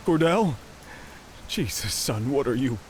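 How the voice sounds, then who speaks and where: A man plays a weary but resolute police officer in a game drama. The voice is middle-aged, medium in pitch and earnest, with music underneath. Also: expressive, unhurried, natural voice. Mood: tense, determined. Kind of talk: video game drama acting.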